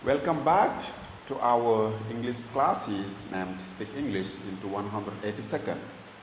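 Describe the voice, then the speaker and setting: A man's voice: lowish voice, a little hiss or hum. Senegalese man teaching English, echoey room. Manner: slow, deliberate delivery, switching between English, Wolof and French. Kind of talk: bilingual lesson in English and Wolof.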